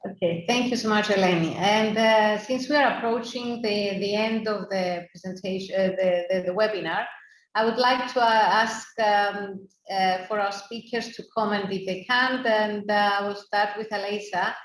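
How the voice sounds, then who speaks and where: A woman's voice: mid-range voice, steady tone, moderate pace. A woman moderating a webinar over a video call, hesitant, with many fillers and restarts. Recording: roomy room sound, faint background noise.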